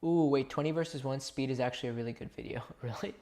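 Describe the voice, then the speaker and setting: A man's voice: medium pitch, fast talker, warm tone. A man born in India chats on a livestream with a mid-range voice. He rambles, restarts sentences and throws asides to his viewers. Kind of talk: casual live-stream chat.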